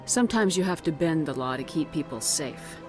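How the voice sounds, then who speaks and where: A woman's voice: sits low, lively intonation, fairly quick, over music. A woman playing a blunt, assertive military commander in a video game, speaking in a direct, firm way. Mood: challenging, firm. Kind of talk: video game dialogue acting.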